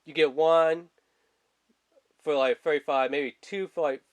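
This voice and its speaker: A man's voice: on the high side, steady tone, a little slow. A man giving a casual, rambling product review in informal, colloquial language, speaking off screen indoors. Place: indoors at a tabletop.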